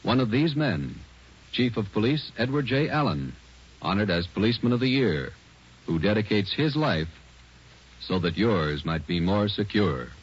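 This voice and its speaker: A man announces in a solemn 1940s radio manner, measured and formal. His low voice swings expressively in pitch, on a muffled old recording. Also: expressive, background noise.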